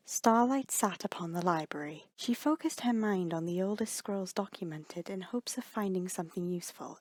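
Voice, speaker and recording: A woman's voice: medium pitch, no room sound. A woman reading a dramatic scripted fantasy story as the narrator. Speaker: fan fiction narrator. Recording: music under the voice. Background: background music.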